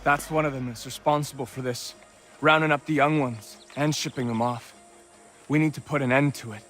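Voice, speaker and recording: A man's voice: mid-range voice, fairly even intonation, moderate pace, a bit bright, soft background music, lightly acted. An actor playing a cocky, plain-spoken frontier rifleman in a video game, with a folksy, blunt way of talking. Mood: indignant, determined.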